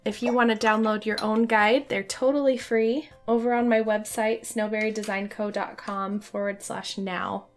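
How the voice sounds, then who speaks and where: A young woman with a hoarse voice from a cold, chatting casually as she teaches a watercolor painting tutorial. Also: mid-range voice, fairly even intonation, moderate pace, hardly any pauses, soft background music. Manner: friendly, chatty, light laughs.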